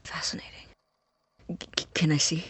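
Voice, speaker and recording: A man playing a nervous, obsessive, awkwardly eager young student scientist in an audio drama, stammering in a breathy, husky voice. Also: normal speed, pushed and bright, no echo, whispered, lightly acted. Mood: fascinated, hesitant. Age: young adult. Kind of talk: naturalistic audio drama acting.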